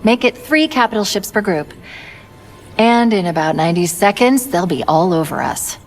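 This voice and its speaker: A woman's voice: medium pitch, lively intonation, normal speed, close to an everyday voice. A woman playing a wry, self-assured ship's artificial intelligence in a video game, cool and knowing as she briefs. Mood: cool urgency. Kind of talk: video game cinematic dialogue. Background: music.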